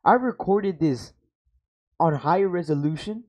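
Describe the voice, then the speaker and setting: A man's voice: on the high side, expressive, measured pace, a little mellow. A young man with a headset, reacting to his old gaming videos in rambling, self-mocking casual talk that swears freely. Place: small room at home. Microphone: over-ear headset mic.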